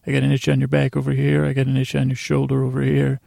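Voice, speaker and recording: A nagging, insistent auctioneer of worries, put on by a male podcaster, in a creaky voice. The patter is quick and repetitive, piling item on item in a bedtime monologue. The sound is dry and close. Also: mid-range voice, monotone, mellow, no echo. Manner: rapid repetitive chanting patter.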